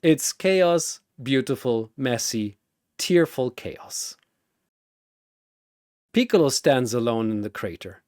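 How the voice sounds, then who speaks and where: A man's voice: medium pitch, animated, slow pace, long pauses, darkish tone, dry recording, studio quality. A man delivering dramatic scripted story narration in theatrical, suspenseful short phrases.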